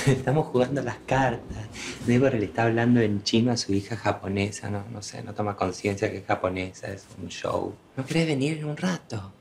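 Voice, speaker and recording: A man's voice: lowish voice, brisk, gravelly, a little hiss or hum. An actor with a Rioplatense Spanish accent plays a friendly man coaxing a friend to eat. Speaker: actor in a TV comedy series. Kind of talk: TV comedy drama acting.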